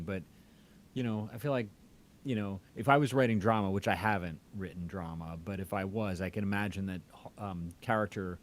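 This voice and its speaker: Lowish male voice over rumbling noise. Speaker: podcast host. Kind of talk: casual interview chat. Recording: clear background noise, low rumble.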